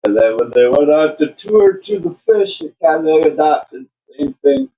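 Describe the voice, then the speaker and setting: A man's voice: a little high, big pitch swings, normal speed. A man chatting casually as a co-host, heard through a dull, lo-fi recording. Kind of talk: casual chat. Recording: dull lo-fi sound.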